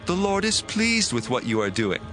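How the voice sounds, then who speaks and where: A man voicing an eager young Levite priest with an excitable way of talking. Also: high-pitched, normal speed, faint music behind. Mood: reassuring.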